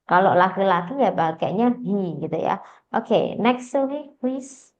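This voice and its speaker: A woman's voice: medium pitch, lively intonation, normal speed, darkish tone. A woman with an Indonesian accent teaching English in a casual online class, chatty and switching between languages. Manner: casual, chatty, laughs often. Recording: dull lo-fi online call audio.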